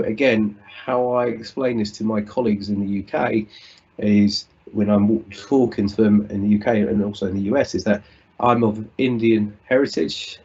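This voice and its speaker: Husky low-voiced British man. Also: a little mellow, muffled recording. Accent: British English. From United Kingdom. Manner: earnest, reflective, with frequent restarts. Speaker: conference speaker. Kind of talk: conference talk. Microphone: video call.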